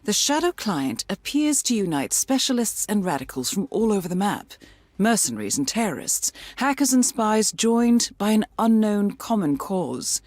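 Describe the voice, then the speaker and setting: An actress playing a composed, shrewd intelligence handler in a video game, speaking in a measured, formal, articulate way. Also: female voice, mid-range voice, very animated, darkish tone, dry recording. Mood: composed, businesslike. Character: composed, shrewd woman handler at an assassination agency. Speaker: mission handler briefing an assassin. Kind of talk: scripted video game voice acting. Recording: music under the voice.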